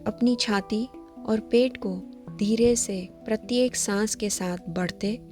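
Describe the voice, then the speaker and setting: A woman leading a scripted guided meditation, giving gentle, measured instructions. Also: medium pitch. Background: background music.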